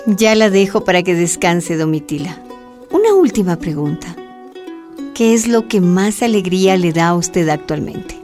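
A woman's voice: lowish voice, lively intonation, moderate pace, lightly acted. An actress playing a respectful, attentive woman interviewer in a radio drama. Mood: attentive, gentle. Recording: prominent plucked-string music underneath.

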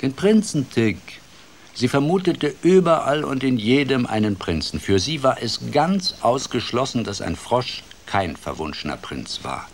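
A man's voice: mid-range voice, measured pace, a little hiss or hum. An actor playing a playful, wry older grandfather telling a fairy tale expressively in a radio play. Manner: expressive, dramatic, shifts between hushed and lively. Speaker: storyteller.

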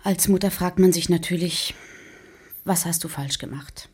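Eine Schauspielerin spielt in einem Hörspielmonolog eine müde alleinerziehende Mutter mittleren Alters, rau, nachdenklich und vertraulich. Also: female voice, moderate pace, a little mellow. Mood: weary, reflective. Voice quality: husky, low. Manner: reflective, confiding. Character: weary single mother doubting her strange daughter. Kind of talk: radio drama monologue.

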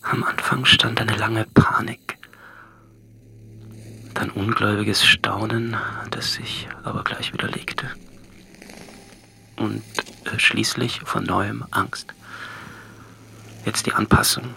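An actor playing a solitary, reflective last man on earth in a radio drama, brooding and measured, with music behind him. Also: fairly low pitch, flat delivery, vocal fry, bright, forceful tone, whispered, exaggerated voice. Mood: brooding, uneasy. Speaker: actor narrating a radio play. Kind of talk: literary radio drama monologue.

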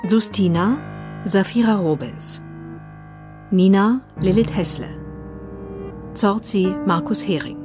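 Female announcer for drama credits: deliberate, very slow delivery, neutral tone, piano music clearly behind. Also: mid-range voice. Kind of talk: scripted radio credits announcement. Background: piano and instrumental music.

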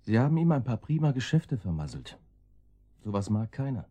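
A man's voice: medium pitch, sing-song, normal speed, warm tone, husky. A man playing a menacing, sardonic henchman in a radio drama, questioning in clipped, mocking phrases. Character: menacing male henchman, sardonic, coolly interrogating. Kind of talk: German radio drama acting.

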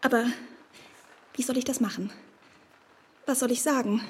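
A woman's voice: on the high side, fairly even intonation, normal speed, darkish tone, slightly rough. An actress playing a naive, wavering young woman eager to please in a period radio drama, hesitant, breathless and girlish. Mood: eager, unsure.

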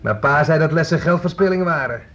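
A man's voice: on the high side, brisk, over music, natural voice. An actor in a Dutch radio play playing a menacing, needy fugitive who resents his mother, brooding and needling. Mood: wary, nostalgic. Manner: brooding, needling, childishly aggrieved. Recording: muffled old radio play recording.

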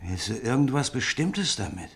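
Ein Schauspieler spielt in einem deutschen Hörspiel einen misstrauischen Mann, der widerwillig eine Waffe verkauft und bedächtig nachbohrt. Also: male voice, mid-range voice, big pitch swings, lightly acted. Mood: wary, insistent. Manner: probing, deliberate, questioning. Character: wary man reluctantly selling a revolver, probing and needling. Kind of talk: German radio play acting.

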